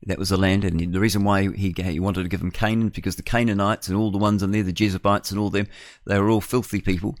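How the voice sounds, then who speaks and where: A man's voice: low voice, flat delivery, fast, no room sound, clean studio sound. A man in his sixties with a New Zealand accent, delivering a rambling, conversational opinion monologue as a radio host. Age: 60s. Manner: rambling, digressive, conversational with rhetorical tag questions.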